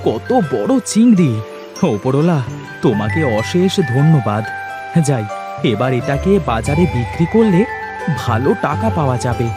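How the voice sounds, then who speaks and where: Man acting a poor fisherman, highly animated. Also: moderate pace, close to an everyday voice. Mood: amazed. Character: poor, weary, devout fisherman and tender father. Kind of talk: cartoon fairy-tale voice acting. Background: music.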